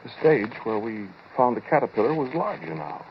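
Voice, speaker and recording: An American actor playing a shaken, brooding inventor who tells his story hesitantly and deliberately in 1940s radio drama style. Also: medium pitch, natural voice. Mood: brooding, uneasy. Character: shaken, brooding male inventor recounting his time-machine experiment. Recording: muffled, noisy 1940s radio recording.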